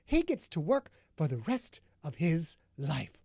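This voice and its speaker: A woman narrator voicing a volatile, bitter young woman who shouts in high, clipped bursts. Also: very animated, a little slow, vocal fry, dry recording, studio quality, full character voice. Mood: mocking.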